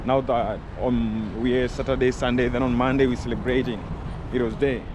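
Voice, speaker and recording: A Kenyan man in his forties talks straight into a camera held at arm's length as he walks the streets. His tone is chatty and earnest, and at times he pleads with his viewers. Passing traffic is clearly audible behind his voice. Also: medium pitch, fairly even intonation, normal speed. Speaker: travel vlogger. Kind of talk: walking city tour vlog. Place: outdoors on city streets. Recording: outdoor street noise. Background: city traffic.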